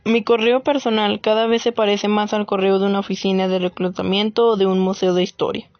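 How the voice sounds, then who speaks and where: A woman plays a reflective writer narrating a radio play. Her voice is mid-pitched. It is recorded a bit dully with soft music behind. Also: flat delivery, a little fast, no room sound, close to an everyday voice. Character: reflective woman writer gathering war memories. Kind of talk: scripted dramatic narration. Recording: muffled lo-fi audio under music.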